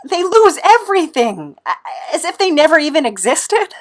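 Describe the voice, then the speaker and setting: A woman's voice: high voice, sing-song, moderate pace. Woman playing an earnest, plaintive idealist. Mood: distressed. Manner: plaintive, questioning. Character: earnest, sentimental idealist troubled by injustice. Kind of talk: audio drama acting.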